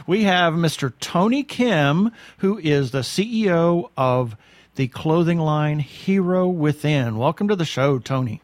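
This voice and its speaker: A man's voice: fairly high pitch, lively intonation, unhurried, dry recording. An American man hosting a webcast and interviewing in a conversational way. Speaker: webcast host.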